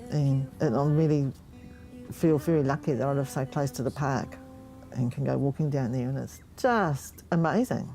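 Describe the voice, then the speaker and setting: A woman speaking openly and emotionally in an interview, halting now and then as she reflects. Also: very deep voice, mellow, soft background music. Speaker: project participant. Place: near a park in New Zealand.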